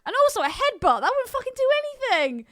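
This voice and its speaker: A woman's voice: very high voice, big pitch swings, fast talker, a bit bright, no room sound, studio quality. A woman co-hosting a casual film podcast, bantering in a sweary, opinionated way. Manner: sweary, opinionated, laughs readily. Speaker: podcast co-host.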